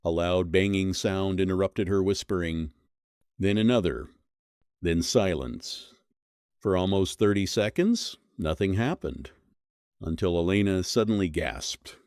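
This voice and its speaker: A man with a low, deep voice narrates a scripted horror mystery. He builds suspense with measured, short dramatic phrases. The sound is dry and close, with hardly any room on it. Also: animated, a little mellow, no room sound.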